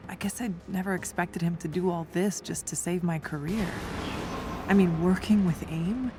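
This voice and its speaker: A woman's voice: fairly low pitch, steady tone, moderate pace, background noise, close to an everyday voice. A woman voicing a tough, smart young scientist in a video game, dry and matter-of-fact. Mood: reflective, resolved. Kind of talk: video game voice acting, naturalistic dialogue.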